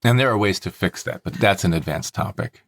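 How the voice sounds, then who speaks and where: A man's voice: deep voice, a little fast, gravelly. A man hosting a podcast, talking conversationally and explaining things plainly, step by step. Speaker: podcast host and story producer.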